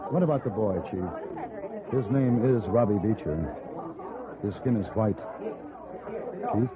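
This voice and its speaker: A man with an American accent playing a calm, wry gunfighter hero in a 1950s radio Western. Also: lowish voice, a little slow, over a noisy crowd, lightly acted. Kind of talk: 1950s radio drama acting and narration. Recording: tinny old radio broadcast recording.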